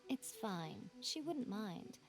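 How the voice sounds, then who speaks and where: A woman's voice: mid-range voice, very animated, slow. A woman voicing a sly, playful female shrine priestess in a game, amused and teasing. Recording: game audio with clear music.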